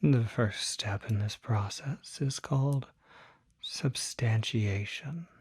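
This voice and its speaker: A performer voicing a calm, courteous demon doctor in an ASMR roleplay, whispering softly with a measured, reassuring, formal bedside manner. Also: mid-range voice, no echo, exaggerated voice. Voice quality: breathy, whispery.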